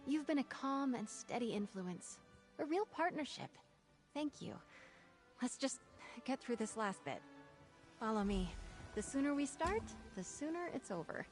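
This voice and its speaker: A high, youthful female voice plays an earnest knight aspirant in a fantasy game. She is anxious yet dutiful and courteous. Soft music plays behind her. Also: sing-song, unhurried. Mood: grateful, resolute. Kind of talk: video game voice acting.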